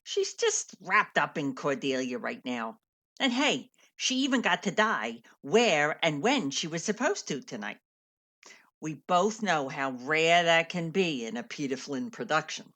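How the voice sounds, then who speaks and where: A woman playing a worldly theater veteran in a radio drama. Her low voice moves in lively swings, frank and slangy, with wry, kindly mentoring. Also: a little slow, full character voice. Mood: wry, encouraging. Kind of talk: radio play acting.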